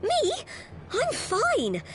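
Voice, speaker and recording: An actress voicing a tiny, excitable, kindhearted girl in a video game, squeaky and fretful. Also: extremely slow, a little hiss or hum, exaggerated voice. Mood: alarmed.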